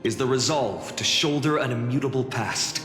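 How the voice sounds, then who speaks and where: A man's voice: mid-range voice, moderate pace, natural voice. A man playing an earnest, burdened young warrior hero in a video game, intense and resolute. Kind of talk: English video game voice acting. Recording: echoey hall reverb, clear music behind.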